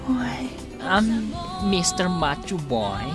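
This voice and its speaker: A woman's voice: lowish voice, big pitch swings, extremely slow, music in the background, exaggerated voice. Woman putting on a campy, swooping, flirtatious Ilocano character. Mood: coy. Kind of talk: Ilocano radio comedy drama acting.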